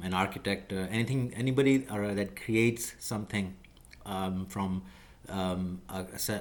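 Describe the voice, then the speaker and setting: Lowish-voiced man lecturing with many fillers. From Pakistan. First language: Urdu. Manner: measured, lecturing, many fillers and self-corrections. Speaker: video creator explaining job skills. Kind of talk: unscripted talking-head explainer.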